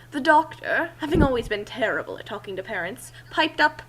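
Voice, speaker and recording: A woman's voice: on the high side, very animated, moderate pace, fairly bright tone, breathy edge, poor audio. A woman reading a story aloud in a theatrical way, with casual asides. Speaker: reader and commentator.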